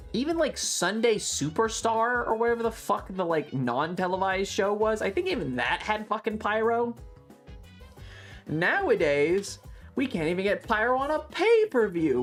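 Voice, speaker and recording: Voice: medium pitch, very animated, unhurried. A livestreamer talks in a casual, rambling way with an emphatic, ranting edge and plenty of swearing. The voice sits in a middle register. Instrumental music with guitar plays behind it. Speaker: livestream host.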